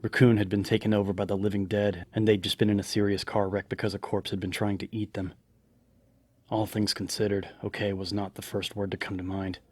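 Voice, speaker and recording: A man's voice: fairly low pitch, level tone, brisk, darkish tone, husky, no room sound. A man reading scripted horror fiction as a dramatic audiobook narrator. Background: background music.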